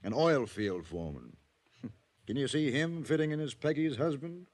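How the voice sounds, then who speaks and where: A man's voice: medium pitch, expressive, normal speed, darkish tone. A man playing a wealthy, guarded, domineering businessman and father with a curt, controlled manner in an old-time radio drama. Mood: stern, scornful. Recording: noisy vintage radio recording with clicks.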